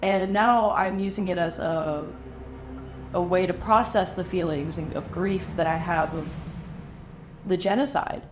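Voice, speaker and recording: A woman from Lebanon, an artist, speaking in a documentary interview, reflective and halting, often restarting her sentences. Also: fairly low pitch, over music.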